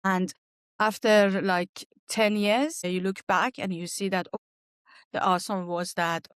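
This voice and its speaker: A woman's voice: medium pitch, fairly even intonation, takes it slowly, clear, resonant tone, no room sound, studio quality. A woman from Iran with an Iranian accent, a native Farsi speaker, answering interview questions conversationally in hesitant, non-native English. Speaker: guest, language teacher and archaeologist.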